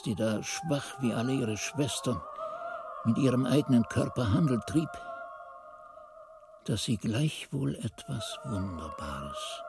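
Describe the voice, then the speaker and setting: A man's voice: on the low side, full character voice. An actor playing a monk who recalls his youth in a radio drama, narrating in a solemn, ardent, literary manner. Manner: expressive, solemn, literary delivery. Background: music.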